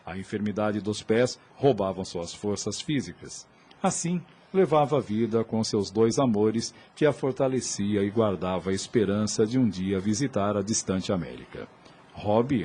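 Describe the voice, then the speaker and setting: A man's voice: fairly low pitch, mellow, husky. A man with a Brazilian accent giving scripted dramatic narration as the storyteller of an audio drama.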